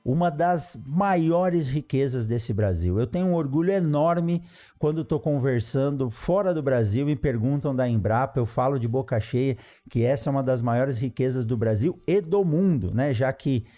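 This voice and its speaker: A man's voice: medium pitch, moderate pace. A man hosting an agriculture podcast, introducing his guest with effusive praise and frequent tag questions. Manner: warm, effusive with frequent "né" tags and restarts. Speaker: podcast host. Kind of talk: interview introduction.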